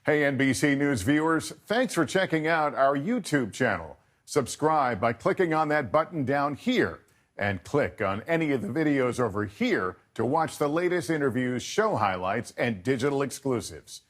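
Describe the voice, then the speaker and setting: A man's voice: medium pitch, lively intonation, normal speed. Man reading a channel outro. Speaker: channel promo presenter. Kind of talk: scripted video outro.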